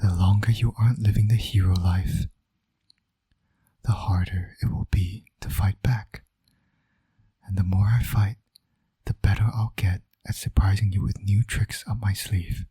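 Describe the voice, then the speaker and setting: A man playing a flirtatious villain and doting father in romantic roleplay audio, speaking in a smug, teasing, intimate way with a breathy, often near-whispered voice. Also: lowish voice, steady tone, measured pace, mellow. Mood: seductive, smug.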